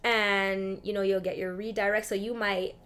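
A woman's voice: mid-range voice, level tone, normal speed, a bit bright. A woman speaking as an interview guest in a casual, informal way, explaining things with lots of "like" and "you know" and acting out bits of dialogue. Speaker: interview guest, actress and film industry buyer.

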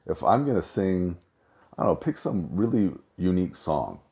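Low, dark-voiced man musing. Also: sing-song, normal speed, creaky. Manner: rambling, self-correcting storyteller. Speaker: host. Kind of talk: casual monologue.

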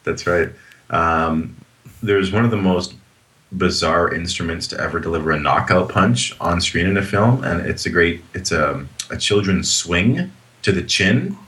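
A man's voice: sits low, measured pace, fairly bright tone, poor audio. A man co-hosting a movie podcast in casual chat, animatedly retelling scenes with relish. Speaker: podcast co-host.

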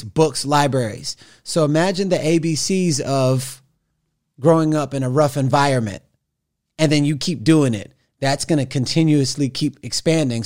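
A man of forty, a podcast guest, telling his story in an animated, conversational way with frequent restarts. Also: a little high. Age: 40s. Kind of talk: conversational interview.

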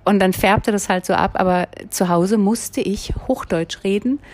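Vlog host, female, light Berlin accent, chatty and rambling, mid-pitched voice. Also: measured pace, darkish tone. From Berlin, Germany. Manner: chatty, rambling, many filler sounds. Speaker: vlogger. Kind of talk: casual personal monologue. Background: phone ringing.

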